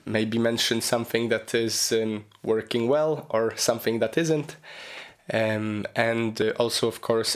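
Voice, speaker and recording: A man hosting a solo podcast, his voice raspy and hoarse from a cold, talking loosely with lots of ums and you-knows. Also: mid-range voice. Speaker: podcast host. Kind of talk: casual solo podcast monologue.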